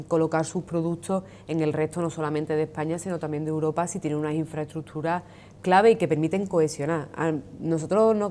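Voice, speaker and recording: A woman with a Sevillian Andalusian accent, a trade union leader giving deliberate interview answers with frequent fillers. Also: low voice, dark tone, slight background noise. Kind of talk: measured political interview answers. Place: television studio.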